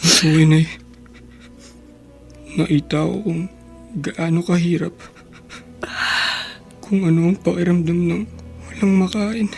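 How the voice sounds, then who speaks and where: An actor playing a destitute, stubborn but remorseful teenage son in a Filipino radio drama, with a gravelly voice and a halting, plaintive delivery. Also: medium pitch, darkish tone. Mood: anguished. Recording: background music under the voice.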